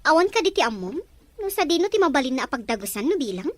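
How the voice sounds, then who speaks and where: A loyal, concerned younger sibling in a radio family drama, played by a woman. The voice is high and carries an Ilocano accent. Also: very animated, fast, no room sound. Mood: anxious.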